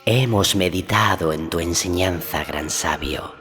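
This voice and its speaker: A man voicing a grave owl-headed wise woman, mother of intuition, in a storybook, speaking in a measured, formal way. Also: low-pitched, fairly bright tone, soft background music, voice pushed up. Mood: solemn, questioning.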